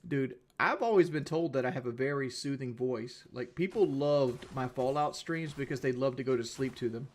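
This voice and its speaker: Male streamer, casual livestream chat, mid pitch, blunt and profane, lots of restarts. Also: moderate pace, hardly any pauses. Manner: repeats himself and restarts often.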